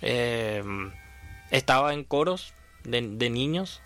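A man's voice: medium pitch, lively intonation, slow pace, fairly bright tone. Venezuelan man in his thirties, music behind. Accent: Venezuelan Spanish. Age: 30s. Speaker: interview guest, horn player. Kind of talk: conversational interview answers.

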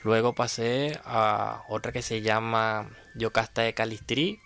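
A man's voice: on the low side, steady tone, unhurried, creaky, a bit bright, a little hiss or hum. A man in his thirties with a Venezuelan Spanish accent, answering conversationally as an interview guest. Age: 30s. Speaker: interview guest, horn player.

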